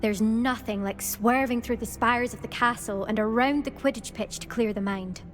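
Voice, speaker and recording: A woman's voice: on the high side, fairly even intonation, normal speed, faint music behind, lightly acted. A woman playing a boastful, blunt, competitive teenage witch in a video game. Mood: cocky. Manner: cocky, blunt, dismissive. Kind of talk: scripted video game dialogue.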